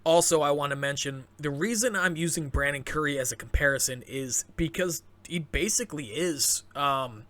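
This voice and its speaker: A man's voice: on the high side, normal speed, few pauses, a bit bright. A man hosting a fitness channel, giving chatty, enthusiastic commentary straight to his audience with plenty of fillers and restarts, his voice turning a little scratchy. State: voice going scratchy. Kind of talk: casual commentary to camera.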